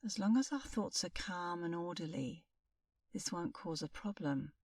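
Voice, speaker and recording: A middle-aged woman leading a guided meditation as an instructor, speaking in a calm, soothing, unhurried way with long pauses. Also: fairly low pitch, no echo, distant mic.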